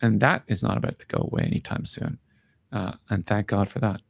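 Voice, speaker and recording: Guy being interviewed, kinda low voice w vocal fry, reflective, dry close sound. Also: huge pitch swings, fairly quick, no room sound. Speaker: interview guest, tech company founder and CEO. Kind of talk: conversational interview answers.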